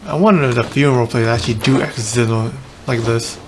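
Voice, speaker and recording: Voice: mid-range voice, brisk, slight background noise. Casual game streamer with offhand reactions. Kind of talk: casual gameplay commentary.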